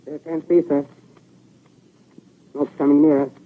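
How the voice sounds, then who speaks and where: A man's voice: a little high, little pitch movement, a little slow, natural voice. Man acting a soldier observer on tinny radio. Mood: tense, watchful. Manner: short, deferential military reports. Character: army observer soldier reporting sightings to his officer. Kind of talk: 1930s radio drama acting. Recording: tinny, hissy 1930s radio recording.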